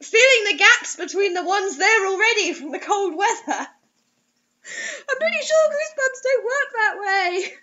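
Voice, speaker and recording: A woman's voice: very high voice, expressive, moderate pace, pushed and bright. A young adult woman reading a story aloud dramatically, with sarcastic, mocking commentary. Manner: theatrical, sarcastic. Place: small room indoors. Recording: dull lo-fi webcam-style sound.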